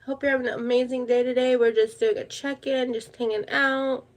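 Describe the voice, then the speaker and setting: A woman's voice: sits high, flat delivery, fairly quick. A woman hosting a casual livestream, chatting in a rambling way and repeating her words. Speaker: livestream host.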